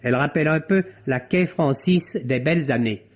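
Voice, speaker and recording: A man's voice: a little high, moderate pace, over music. A man delivering a film review as a critic on the radio. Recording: dull vintage French radio broadcast.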